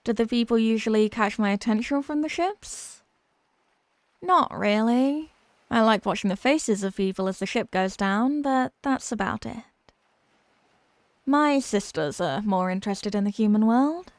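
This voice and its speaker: A woman plays a young mermaid princess with a fairly high voice, recorded close and dry. She is possessive and haughty, talking down to her listener with sweet, teasing smugness in an ASMR roleplay style. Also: expressive, unhurried, no echo. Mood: haughty, amused. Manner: condescending, sweetly smug, teasing. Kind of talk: scripted roleplay ASMR audio drama.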